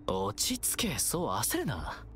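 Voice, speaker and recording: A young male game villain, theatrical and teasing with an edge of menace. He draws his words out slowly, and his pitch swings widely up and down. Game music plays behind him. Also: wildly swooping pitch, fairly bright tone, full character voice. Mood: taunting. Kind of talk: video game voice acting.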